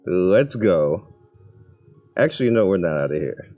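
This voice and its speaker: Casual male gamer, very deep voice and unhurried delivery, talking through his play in slangy asides. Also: lively intonation, warm tone, faint music behind. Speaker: gaming video creator. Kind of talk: casual gameplay commentary, thinking aloud.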